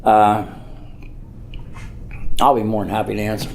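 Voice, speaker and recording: A man's voice: on the low side, monotone, a little slow, gravelly, noisy background. An older man from the United States giving an informal public speech as a mayor, rambling and earnest, repeating phrases for emphasis. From Norwood, United States.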